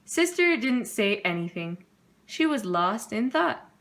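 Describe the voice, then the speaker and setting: A woman narrates a children's storybook aloud. Her voice sits fairly high, and she takes her time, delivering each phrase deliberately for young listeners. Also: sing-song, fairly bright tone. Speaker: storybook reader.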